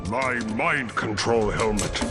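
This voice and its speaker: An actor playing an enraged, power-hungry captive villain in a video game, theatrical and commanding. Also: male voice, lowish voice, takes it slowly, over loud music. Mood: angry, imperious.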